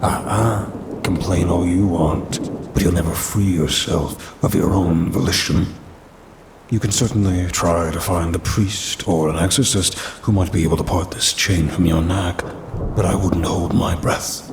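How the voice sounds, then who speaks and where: Casting: a man to play a smug, centuries-old demon for ASMR roleplay, very low and unhurried, condescending, old-fashioned phrasing. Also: fairly even intonation, gravelly. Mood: taunting, menacing. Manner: haughty, teasing, old-fashioned wording, drawn-out delivery. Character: smug, ancient male demon trapped in a cursed necklace. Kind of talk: ASMR audio roleplay acting. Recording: rumbling rain and thunder noise.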